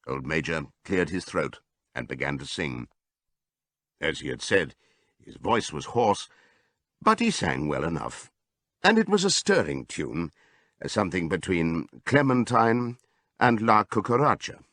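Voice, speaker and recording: A man's voice: deep voice, big pitch swings, measured pace, long pauses, a bit bright, no room sound, studio quality. A man reading literary prose as a scripted audiobook narrator.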